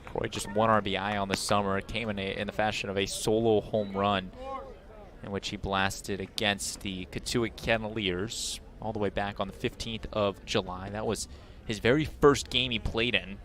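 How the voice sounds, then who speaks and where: A man's voice: on the low side, fairly even intonation, normal speed, background noise. A man giving baseball analysis as a color commentator on a game broadcast.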